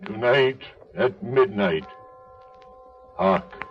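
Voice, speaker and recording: An actor playing an aging, solemn ghost with grave, declamatory delivery in an old radio drama. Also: male voice, on the low side, lively intonation, very slow, a little hiss or hum. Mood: solemn urgency. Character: aging, dying male Christmas ghost, solemn. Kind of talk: 1930s radio drama acting. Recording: dull 1930s radio broadcast.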